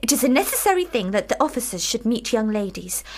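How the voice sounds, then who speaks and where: A woman's voice: fairly high pitch, very animated, fast talker. A woman in a radio play playing a precocious, earnest young girl with a prim, formal, childlike way of talking. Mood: persuasive. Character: young Charlotte Bronte, precocious earnest girl, older sister. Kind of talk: radio drama acting.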